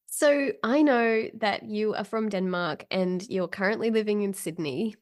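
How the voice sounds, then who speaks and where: Enthusiastic woman hosting a podcast. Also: medium pitch. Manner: warm, enthusiastic. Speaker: podcast host. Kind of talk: scripted intro and interview questions.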